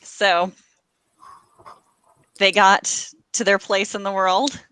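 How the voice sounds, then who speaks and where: A woman's voice: mid-range voice, little pitch movement, very slow, sharp tone, clear, resonant tone, no echo, rough recording. A woman hosting a live reading event, talking casually in a chatty, informal, slightly scattered way. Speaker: host of live reading show. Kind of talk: casual chat.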